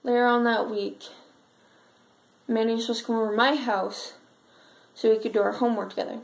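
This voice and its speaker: A sad, frightened schoolgirl played by a woman tells her story in a fairly high voice. The delivery is an earnest amateur monologue, halting and full of pauses. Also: fairly even intonation, creaky, poor audio. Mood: troubled recollection.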